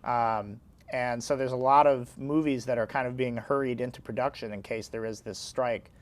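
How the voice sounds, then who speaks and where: A man's voice: medium pitch, steady tone, normal speed. A man speaking as an interview guest in a casual film chat, with a wry, joking manner. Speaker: interview guest, arts and entertainment editor.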